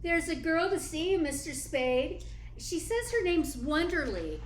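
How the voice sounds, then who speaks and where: Woman acting high-voiced breezy office secretary. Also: very animated, unhurried. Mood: breezy, confiding. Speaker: actor in a radio play. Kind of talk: 1940s radio drama acting. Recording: echoing, faintly noisy room.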